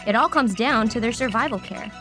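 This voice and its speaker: A fairly high, emphatic news anchor in a satirical audio drama, played by a woman. She announces doom and scandal in a declamatory, mock-serious style, with music playing clearly behind. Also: a little fast, close to an everyday voice. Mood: ominous. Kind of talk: parody news reading in audio play.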